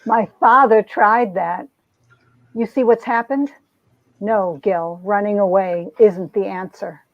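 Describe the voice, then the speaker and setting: A woman's voice: medium pitch, slow pace, no room sound. A woman playing a wounded, proud young heroine, narrating and acting out a romance comic with earnest melodrama. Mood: resolute. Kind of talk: dramatic reading of a 1950s romance comic, narration and dialogue. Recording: dull, lo-fi sound.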